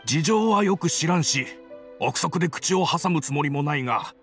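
Wry, wary businessman, lively pitch. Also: moderate pace, faint music behind. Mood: grave. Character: wry, wary businessman, long-time trading partner. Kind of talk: Japanese anime game voice acting.

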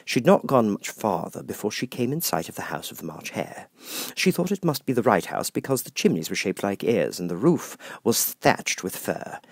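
A man reading a children's classic as an audiobook narrator, in an expressive storytelling style. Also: on the low side, moderate pace, creaky, breathy edge, no echo, studio quality.

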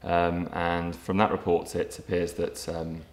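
A man in his thirties, a property developer giving a documentary interview, speaking in a measured way with frequent ums and restarts. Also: sits low, level tone. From England. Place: empty brick factory room, indoors, large. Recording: echoey empty room, faint ambience.

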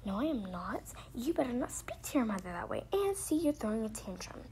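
Woman as exasperated mother, stern and scolding, highish female voice, slightly noisy home roleplay. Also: very animated, a little fast, darkish tone. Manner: bossy, scolding, impatient. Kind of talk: pretend-play family roleplay.

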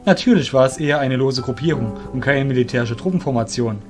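A man's voice: medium pitch, fast, darkish tone. A man narrating a scripted fantasy story as the narrator of an audio drama. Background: music.

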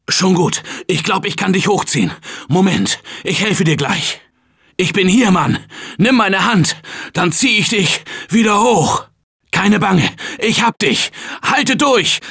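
A man voicing an upbeat office-worker survivor in a video game dub, shouting calls and encouragement to his teammates in an excitable manner. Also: extremely high pitch, full character voice. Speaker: game character. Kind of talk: German-dubbed video game voice lines.

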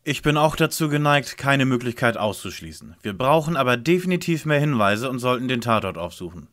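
A man's voice: mid-range voice, very animated, a little fast, fairly bright tone. Man acting a formal, earnest boy detective. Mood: polite, businesslike. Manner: formal, precise. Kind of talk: German detective radio play parody.

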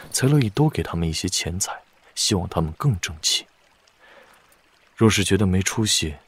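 An actor playing a calm, calculating blind male strategist in a wuxia audio drama, speaking in a measured, deliberate way. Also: low-pitched, husky.

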